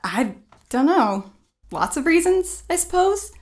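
An actress plays a headstrong, curious woman in an audio play. Her voice sits high and moves around a lot. She sounds playful and determined. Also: very animated, slow pace, exaggerated voice. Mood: curious, breezy. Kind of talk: audio drama acting.

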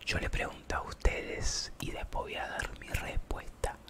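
A man with a Rioplatense Spanish accent, whispering softly as an ASMR live streamer, chatting with viewers in a rambling, playful way. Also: unhurried.

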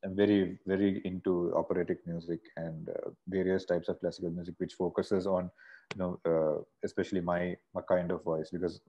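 A man's voice: sits low, level tone, brisk, dark tone, highs cut off. A man from Sri Lanka, a singer, talking as a guest over a video call in a casual, rambling way with many restarts. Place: bright room indoors. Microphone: earphone mic on a video call.

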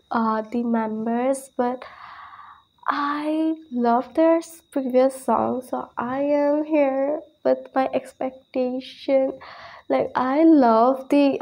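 Higher-pitched, slow-paced young woman vlogger. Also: darkish tone. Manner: gushing, hesitant, repeats words for emphasis. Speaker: reaction video host. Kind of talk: casual, excited reaction chat to camera. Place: small plain room indoors.

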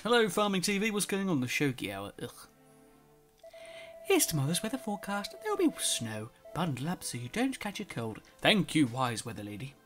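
A man's voice: a little high, big pitch swings, moderate pace, fairly bright tone. A man giving casual let's play commentary, a bit nasal from a cold, reading game text aloud as he plays. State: slightly nasal, sore throat. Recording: faint music behind.

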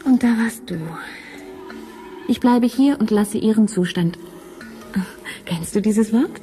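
An actress playing a dreamy, devoted woman time traveller in an intimate radio drama, hushed and breathy. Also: on the high side, slow, faint music behind.

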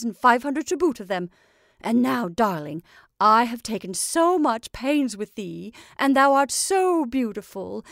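Woman acting a loud, high-pitched domineering old witch. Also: no echo, studio quality. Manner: emphatic, declamatory, archaic speech. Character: domineering old witch, gleefully scornful and coaxing.

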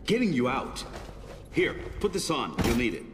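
A man playing a steady, no-nonsense soldier and loyal comrade in a video game. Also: mid-range voice, normal speed, close to an everyday voice. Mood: firm, reassuring. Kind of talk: video game cutscene acting. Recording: echoey, reverberant hall.

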